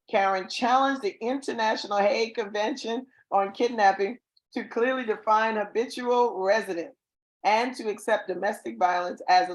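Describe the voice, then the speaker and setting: A woman's voice: mid-range voice, low-quality recording. A woman hosting an online awards ceremony over a video call, reading introductions effusively and stumbling over some words. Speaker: awards show host.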